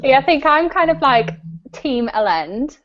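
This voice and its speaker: A woman with a fairly high voice hosts a casual book discussion. She talks conversationally, feeling her way through sentences with lots of "like" and "kind of." The audio is a little dull, like an online call. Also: very animated, a little slow. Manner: hesitant, conversational, many "like" and "kind of". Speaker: live show host discussing books. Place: video call live stream.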